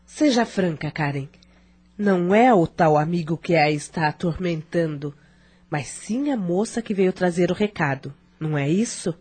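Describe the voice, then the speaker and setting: A woman with a Brazilian accent playing a shrewd middle-aged mother in a radio soap, measured and questioning. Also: lowish voice, expressive, a little mellow, breathy edge. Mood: probing, concerned. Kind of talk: radio soap opera acting.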